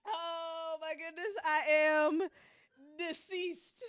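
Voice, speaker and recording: A woman hosting a casual live stream, exclamatory and quick to laugh. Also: very high voice. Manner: expressive, exclamatory, laughs easily.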